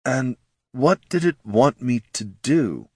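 A man's voice: medium pitch, animated, takes it slowly, a little mellow, husky, dry recording, studio quality, voice pushed up. A man voicing a puzzled, plain-spoken knight commander in a fantasy audiobook, serious and blunt.

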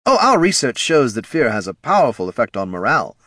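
A man's voice: medium pitch, sing-song, fairly quick, bright, forceful tone, no echo, clean studio sound, pitched above the performer's own voice. A man voicing an eager undead skull, a chirpy marketing executive in a fantasy audiobook, with upbeat, earnest corporate patter. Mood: eager to please.

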